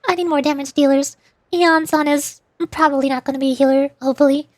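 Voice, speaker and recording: A woman's voice: sits high, fairly even intonation, fast, no room sound, clean studio sound. A woman streamer chatting casually through a game, often tripping over her words and correcting herself. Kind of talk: casual game commentary and voice acting.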